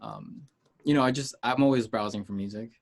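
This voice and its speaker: A man from the United States chatting casually as an interview guest, informal and rambling, pausing to gather his thoughts. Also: mid-range voice, gravelly, a little mellow. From United States, Peruvian heritage. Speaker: interview guest, music artist.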